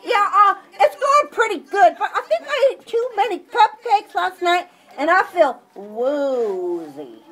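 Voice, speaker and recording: Woman voicing a very high-pitched puppet pal. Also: sing-song, measured pace, hardly any pauses, a bit bright, soft background music, exaggerated voice. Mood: woozy, alarmed. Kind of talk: children's puppet show dialogue.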